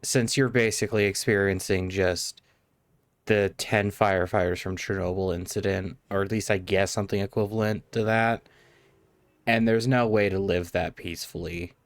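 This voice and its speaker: A man's voice: fairly low pitch, fairly even intonation, normal speed, no room sound. A man giving casual live game commentary as a streamer, blunt and sardonic.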